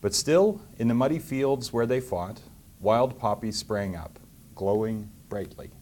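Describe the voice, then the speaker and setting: Low-voiced Canadian man addressing children. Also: lively intonation, unhurried, darkish tone, slight background noise. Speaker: mayor hosting and reading to children. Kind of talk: public talk and storybook reading to schoolchildren.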